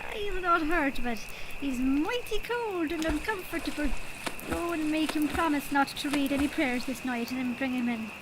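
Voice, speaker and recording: Woman playing a high-pitched fiery leprechaun king. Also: moderate pace, noisy background, natural voice. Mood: gleeful, crafty. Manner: indignant, old-fashioned Irish storybook phrasing. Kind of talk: audio drama character acting.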